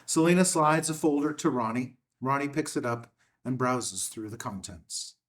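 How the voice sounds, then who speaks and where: A man's voice: mid-range voice, moderate pace. A man reading a film script's stage directions as narrator, in a matter-of-fact way.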